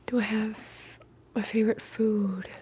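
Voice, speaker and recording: A soft-spoken woman hosting an ASMR livestream, talking in a breathy, whispery hush and chatting casually with her viewers. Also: medium pitch, level tone, slight background noise. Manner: hushed, unhurried casual talk.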